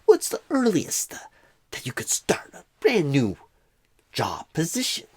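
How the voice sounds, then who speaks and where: A man playing a clueless, bumbling job recruiter in an ASMR roleplay interview, with a husky voice that often slips toward a whisper and halting, rambling phrasing full of odd pauses and stresses. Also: on the high side, wildly swooping pitch, a little hiss or hum. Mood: probing, halting.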